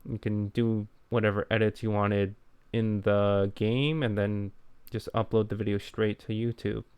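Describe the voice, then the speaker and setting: Low, even-voiced man chatting casually. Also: unhurried, smooth, dry recording. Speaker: podcast co-host who makes gaming videos. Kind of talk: casual podcast chat.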